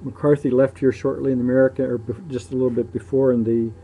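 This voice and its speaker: A man giving casual, off-the-cuff video commentary in a matter-of-fact way, often pausing between phrases. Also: mid-range voice, steady tone. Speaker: local shipping news publisher filming ships. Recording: muffled dark sound, clear background noise.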